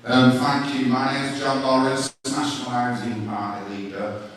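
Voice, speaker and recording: A man's voice: medium pitch, flat delivery, moderate pace. A man in the audience putting a blunt, accusatory challenge from the floor at a public meeting. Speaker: audience member asking a question. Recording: very echoey hall, distant microphone.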